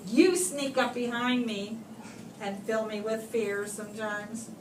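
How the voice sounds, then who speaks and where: Slow-paced woman reading aloud to children. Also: a little high. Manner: expressive, deliberate phrasing with pauses for young listeners. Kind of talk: children's picture book read-aloud. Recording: roomy, distant microphone, clear background noise.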